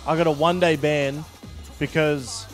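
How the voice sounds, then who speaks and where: A young streamer chats on a couch and tells stories with energy, laughing along the way. His voice sits a touch high. Faint background music hums underneath. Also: normal speed. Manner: animated storyteller, laughs often. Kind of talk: casual group chat. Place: living room couch with others.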